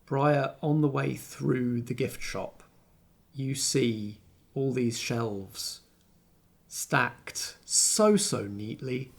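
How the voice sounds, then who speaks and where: A man's voice: medium pitch, gravelly. A man running a tabletop roleplaying game, narrating scenes and prompting players with questions. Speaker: game master.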